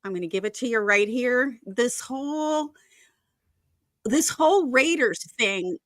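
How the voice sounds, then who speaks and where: A woman from Wisconsin with a Wisconsin accent, co-hosting a casual football chat, chatty and quick to laugh. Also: fairly high pitch, lively intonation, no echo, studio quality. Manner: chatty, laughs often, many fillers. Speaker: podcast co-host.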